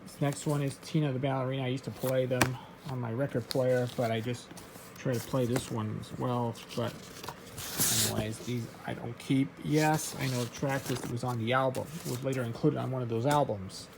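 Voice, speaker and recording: A man casually showing his record collection, reading out titles in a halting, offhand way. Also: mid-range voice, fairly even intonation, vocal fry, heavy background noise. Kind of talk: casual show-and-tell vlog.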